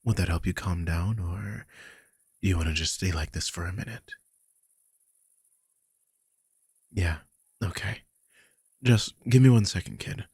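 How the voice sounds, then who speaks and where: A man playing a protective, guilt-ridden magical guardian in an intimate roleplay audio drama, speaking softly and hesitantly in a husky, breathy voice that often drops toward a whisper. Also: deep voice, normal speed, dry recording, studio quality, full character voice. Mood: soothing, reassuring. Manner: soft, hesitant, soothing, with frequent sighs.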